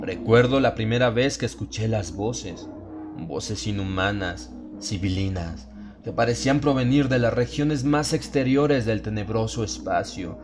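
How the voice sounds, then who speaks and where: A man narrating a dramatized horror audiobook in an ominous, theatrical, measured way, drawing out his phrases. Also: on the low side. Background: atmospheric music bed.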